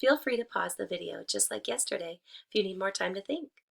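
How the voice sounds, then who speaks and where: A woman teaching and reading aloud to children, speaking deliberately and expressively and addressing them directly. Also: mid-range voice, lively intonation, gravelly, husky. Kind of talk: children's read-aloud, instructional storytelling.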